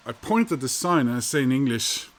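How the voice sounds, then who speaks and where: A man from Norway with a Norwegian accent, telling a travel story in a rambling, halting way with many fillers. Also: medium pitch, sing-song. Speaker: vinyl collector vlogger. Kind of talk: casual storytelling monologue.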